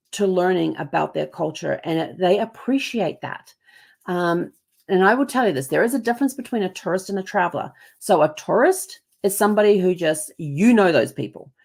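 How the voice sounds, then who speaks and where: Chatty woman with a Kiwi-American accent. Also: on the low side. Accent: mix of New Zealand and American. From New Zealand. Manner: chatty, lots of ums and restarts, puts on voices for others. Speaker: host. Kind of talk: casual travel storytelling.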